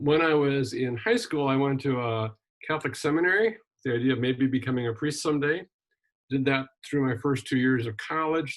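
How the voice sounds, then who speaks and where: An older man from Wisconsin, a retired minister and journalist, telling his life story in a relaxed interview with frequent ums and restarts. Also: medium pitch, poor audio. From Wisconsin, USA. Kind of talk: casual conversational interview.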